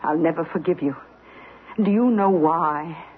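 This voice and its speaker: Bitter wronged wife in an old radio play, proud n cutting, thin tinny sound w some hiss. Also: female voice, sits low. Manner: articulate, cutting, deliberate. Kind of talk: old-time radio drama acting.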